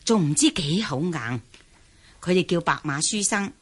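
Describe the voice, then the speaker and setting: Chatty village tea lady, middle-aged mom, bit low and husky, polite radio drama style. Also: animated, a little slow. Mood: gossipy. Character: deferential, talkative village tea-stall mother. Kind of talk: Cantonese radio drama acting.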